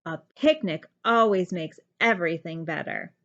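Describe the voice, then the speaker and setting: A woman's voice: fairly low pitch, normal speed, highs cut off, pitched below the performer's own voice, natural voice. A woman voicing a cheerful, eager male bullfrog in a children's storybook, exclaiming with enthusiasm. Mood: encouraging. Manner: exclaiming, bright-spirited, enthusiastic.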